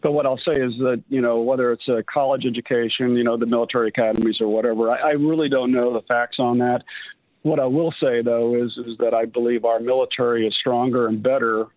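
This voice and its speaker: A man's voice: mid-range voice, fairly even intonation, brisk, no echo. Dry, muffled older American man. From United States, raised on military bases. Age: 60s. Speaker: guest, retired army general. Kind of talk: conversational interview answers. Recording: slightly muffled, lo-fi radio line.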